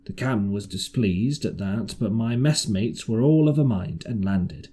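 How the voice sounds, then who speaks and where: A man's voice: on the low side, a little slow, dark tone, breathy edge. A man voicing a shrewd, half-crazed marooned seaman in an audiobook, with rustic, ungrammatical, repetitive sailor talk. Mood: confiding, storytelling. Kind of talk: audiobook character voice.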